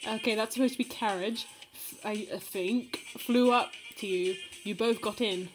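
A woman's voice: mid-range voice, moderate pace, music in the background, poor audio. A woman giving a comedic, mock-dramatic reading of fanfiction, playful and giggly, breaking off to comment. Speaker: fanfiction reader.

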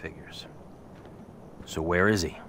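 Deep-voiced brooding biker hero. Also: male voice, slow pace, a little hiss or hum. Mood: cold, menacing. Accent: American. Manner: terse, deadpan, threatening. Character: tough brooding vengeful biker hero, curt and menacing. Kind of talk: video game cutscene acting.

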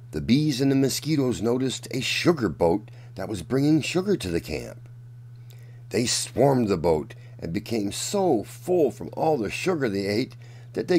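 A man reading a children's picture book aloud as a storyteller, in a deliberate, dramatic manner. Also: medium pitch, very animated, darkish tone, breathy edge. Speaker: children's storyteller and channel host.

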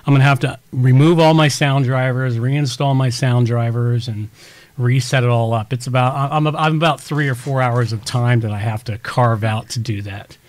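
A man's voice: mid-range voice, steady tone, moderate pace, no room sound. A man hosting a tech podcast, explaining things casually in a rambling way with many restarts and everyday examples. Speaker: podcast host.

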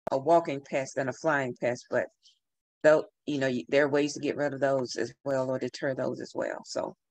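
A middle-aged woman giving relaxed, repetitive gardening advice over a video call from a room at home. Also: low-pitched, no echo. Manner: relaxed, advising, repeats herself. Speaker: gardening speaker. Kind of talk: casual online talk. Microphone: video call webcam.

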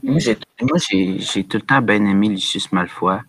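Lower-voiced young Quebec man, casual. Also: animated, normal speed, gravelly, fairly bright tone, slight background noise, low-quality recording. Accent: Quebec French. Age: young adult. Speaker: podcast panelist. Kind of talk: casual chat.

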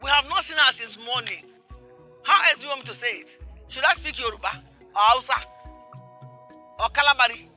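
A woman's voice: mid-range voice, lively intonation, fast talker, pushed and bright, faint music behind. An actress playing an irritable, sarcastic older village mother with a Nigerian accent, scolding in rhetorical questions in a film drama. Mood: exasperated. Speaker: actor in a film. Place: outdoor yard with trees.

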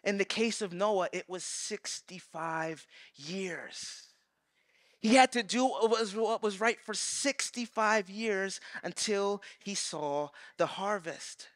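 Animated high-voiced pastor on stage. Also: male voice, slow pace, dry recording, studio quality. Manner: animated, acts out quoted voices, swings loud and soft. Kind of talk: sermon. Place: large church stage.

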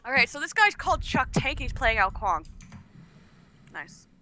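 A woman with a hoarse, sick voice, a guest on a game stream, blunt and teasing in casual banter. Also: high-pitched, sing-song, normal speed, sharp tone. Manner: blunt, teasing, swears freely.

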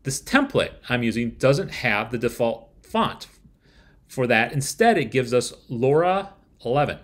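A man's voice: mid-range voice, big pitch swings, unhurried, a bit bright. A man in his forties presenting a scripted review to camera, with wry rhetorical asides. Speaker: software reviewer. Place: small room at home, indoors.

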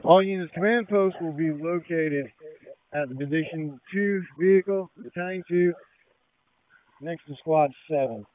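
Over a tinny, narrow-band two-way radio, a man with a fairly high, clear voice gives terse emergency traffic. He talks like a fire officer in command, in clipped procedural phrases. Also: unhurried, no room sound.

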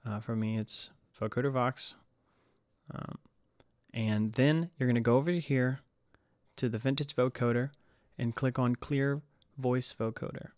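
A man hosting a casual music production tutorial, explaining in a hesitant, halting way. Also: medium pitch, dry recording, studio quality. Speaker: tutorial host.

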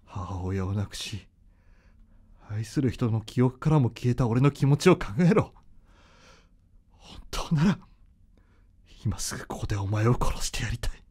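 A man's voice: medium pitch, animated, measured pace, long pauses, dark tone, husky. A man playing a devoted, intensely emotional, anguished young man in a Japanese radio drama. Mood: bitter anger.

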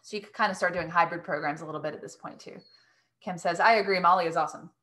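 A woman's voice: on the low side, fast, fairly bright tone. A woman in her thirties, a business coach casually answering questions as host of an online webinar.

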